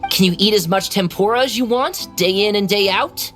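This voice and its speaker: A woman's voice: mid-range voice, normal speed, fairly bright tone, faint music behind, close to an everyday voice. A woman voicing a frank, encouraging young kid in a video game, in a matter-of-fact way.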